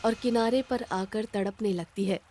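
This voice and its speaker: A woman's voice: medium pitch, steady tone, normal speed, dark tone, slight background noise. A woman narrator reading a scripted moral story.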